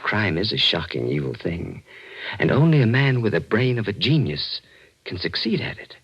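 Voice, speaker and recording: A man playing an arrogant madman who boasts of his genius in a 1940s radio mystery, speaking in a theatrical, grandiose and deliberate way. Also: lowish voice, very animated, breathy edge, no room sound, heavily acted. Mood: coldly menacing. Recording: dry, dull vintage radio recording.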